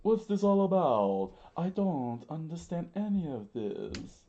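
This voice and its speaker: Man putting on a high, loud, panicky villain henchman. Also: normal speed, highs cut off, lightly acted. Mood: panicked. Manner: excitable, pleading, exclaiming. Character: high-strung, panicky male villain henchman pleading with his boss.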